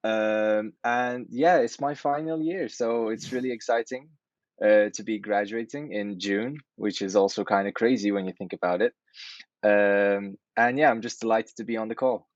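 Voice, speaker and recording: A man's voice: lowish voice, normal speed, dry recording. A man, giving casual interview answers as a student over video call. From Turkey. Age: 20s. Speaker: student guest. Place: indoor room, video call. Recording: dull video-call audio.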